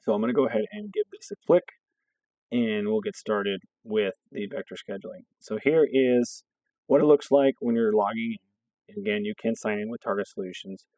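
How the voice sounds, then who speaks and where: A hoarse man from a fire district staff, explaining a scheduling app step by step and checking in with "okay" as he goes. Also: on the low side, moderate pace, warm tone, no room sound, highs cut off. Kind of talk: screen-recorded software walkthrough.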